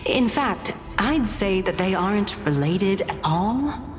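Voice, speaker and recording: A woman voicing an ancient, serene Titan of reason in a video game, measured, lofty and knowing, with an ethereal reverb. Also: medium pitch, expressive. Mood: composed, wry. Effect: long ethereal reverb. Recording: long reverb, loud music behind.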